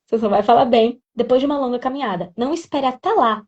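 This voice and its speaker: A woman's voice: fairly high pitch, quick pace, a little mellow, far from the mic, lo-fi sound. A woman in an interview explaining how people learn English, talking with fillers and restarting her sentences. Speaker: English teacher, guest. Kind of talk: conversational interview.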